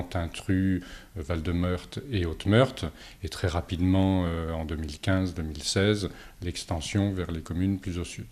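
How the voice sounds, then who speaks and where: A low-pitched man speaks as a local politician making a formal statement. His tone is dark and mellow. He moves in a measured way, often stopping on drawn-out hesitations. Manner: hesitant, halting delivery with frequent long filler pauses. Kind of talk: political statement to camera, semi-prepared.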